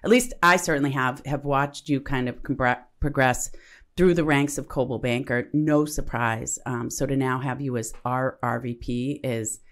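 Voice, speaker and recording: A woman with a low voice co-hosting a casual talk show. She speaks with eager enthusiasm and explains things at length, piling on asides. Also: moderate pace. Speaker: podcast co-host. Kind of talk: casual podcast conversation.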